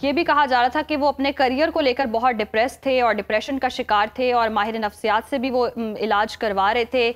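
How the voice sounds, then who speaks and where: A woman anchors a live news show in a Pakistani accent. Her voice sits slightly high. She speaks as an interviewer, posing questions and adding commentary. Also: steady tone, fairly quick, hardly any pauses.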